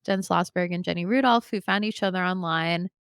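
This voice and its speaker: Gravelly-voiced young woman organizer. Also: lowish voice, fairly quick, no echo, clean studio sound. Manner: animated storyteller, acts out quotes. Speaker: community organizer. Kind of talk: casual podcast conversation.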